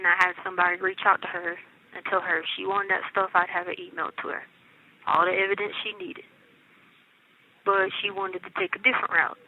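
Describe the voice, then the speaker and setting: American woman on a tinny phone line. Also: medium pitch. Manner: colloquial, insistent, repeatedly checks she is understood. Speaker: inmate on a jail phone call. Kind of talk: casual personal phone conversation. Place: recorded jail phone call. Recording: tinny, narrow telephone line.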